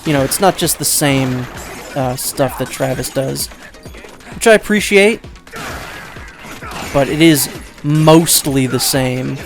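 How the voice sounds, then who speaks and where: A man giving casual, rambling let's play commentary, second-guessing himself out loud and swearing offhandedly. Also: mid-range voice, takes it slowly, pauses often, faint music behind.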